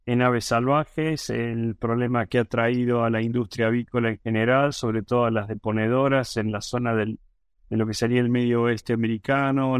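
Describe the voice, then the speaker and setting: A middle-aged man with an Argentine accent answers questions as a guest expert. He hesitates often and restarts his sentences, and his voice sits at an ordinary pitch. The recording is dry and close. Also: clean tone, no echo. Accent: Argentine Spanish. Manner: thoughtful, many hesitations and restarts. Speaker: virology professor, guest. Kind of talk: interview answers.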